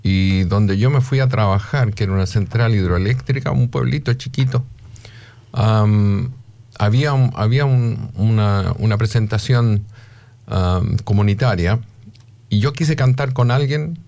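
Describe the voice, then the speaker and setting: A man's voice: lowish voice, a little slow, vocal fry, a little mellow. An older man with a Chilean accent, telling personal stories conversationally as an interview guest. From Rancagua, Chile. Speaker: interviewee, musician father.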